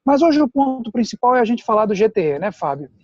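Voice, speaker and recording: A man explains things in a steady, deliberate way as a guest adviser. His voice is high yet mellow in tone. The audio is dry and dull, with the muffled, lo-fi sound of a video call. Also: no room sound. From Pernambuco, Brazil. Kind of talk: explanatory chat.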